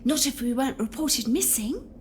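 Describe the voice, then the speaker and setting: A woman playing a calm, wistful, measured ghost in an audio play. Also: high-pitched, a little hiss or hum. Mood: resigned, pondering. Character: calm, thoughtful ghost of a murdered household member. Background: music.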